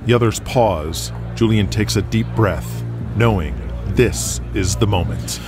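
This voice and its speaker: A man narrates an audio drama in a low register. His delivery is measured and builds suspense, with loud music running underneath.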